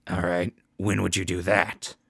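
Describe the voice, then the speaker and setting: A man playing a sardonic, unflappable radio host in a post-apocalyptic audio drama, with a low, gravelly voice and folksy patter. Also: flat delivery, measured pace, fairly bright tone, no room sound, studio quality, exaggerated voice. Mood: skeptical. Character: wry male wasteland radio show host, unflappable and sardonic.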